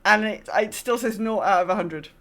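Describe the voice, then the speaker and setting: A woman's voice: medium pitch, normal speed, fairly bright tone. A woman co-hosting a gaming podcast in casual chat, rambling and often correcting herself mid-sentence. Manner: rambling, self-correcting, quotes herself in asides. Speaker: podcast co-host.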